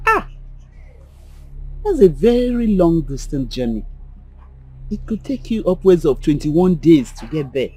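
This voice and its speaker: A woman acting a cautious, devout adviser in a film drama, deliberate, with a Nigerian accent. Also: low voice, warm tone, slightly rough, exaggerated voice, low-quality recording.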